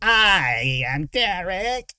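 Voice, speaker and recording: A man voicing a crazed villain mage in a fantasy audiobook, shrill and whining, with grandiose archaic pronouncements. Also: big pitch swings, extremely slow, pushed and bright, clear, resonant tone, no room sound, studio quality, exaggerated voice.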